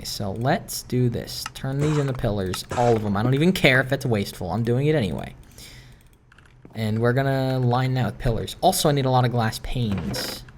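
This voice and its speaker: A young man rambling casually and candidly in personal stories over gameplay, introspective, halting and questioning himself. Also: mid-range voice, background noise. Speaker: gaming video maker.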